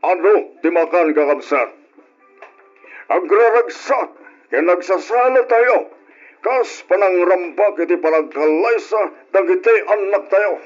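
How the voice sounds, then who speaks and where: A man's voice: high-pitched, animated, a little slow, soft background music. A formal, declamatory middle-aged father. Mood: celebratory. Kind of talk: old-time radio drama acting. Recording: dull, lo-fi old radio recording.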